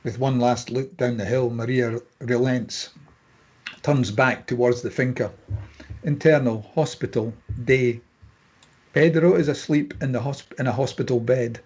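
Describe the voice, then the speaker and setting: Husky male screenplay narrator. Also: mid-range voice, steady tone, a little slow, darkish tone. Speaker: narrator reading stage directions.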